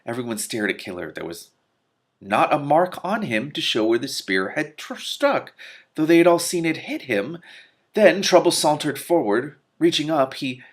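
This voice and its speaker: Expressive man reading a story aloud. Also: a little high, moderate pace. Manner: reactive, often stumbles over words and swears. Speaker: host reading a novel aloud with commentary. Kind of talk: expressive audiobook-style reading with excited asides.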